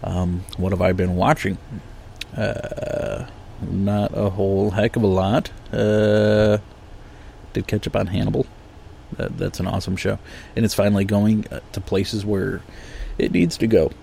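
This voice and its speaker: A man's voice: on the low side, little pitch movement, unhurried, gravelly, dark tone, slight background noise. A man with a sniffly, nasal voice from allergies, giving a blunt, profane, rambling movie review full of ums and uhs. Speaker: podcast host reviewing movies.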